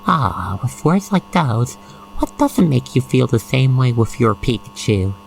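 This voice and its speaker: Animated, creaky-voiced roleplay narrator. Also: mid-range voice, a little slow, faint music behind, low-quality recording. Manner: expressive, gushing, sentimental. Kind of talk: ASMR roleplay storytelling, addressing the listener.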